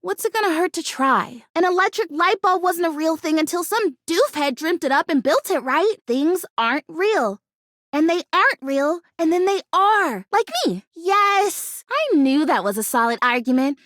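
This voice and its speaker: A woman's voice: very high-pitched, lively intonation, normal speed, a bit bright, no echo, clean studio sound. A woman voicing a bubbly, naive, stubbornly hopeful fake houseplant in a video game, chatty and dramatic in her delivery. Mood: indignant, hopeful. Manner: perky, dramatic, emphatic, chatty. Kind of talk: animated video game character acting.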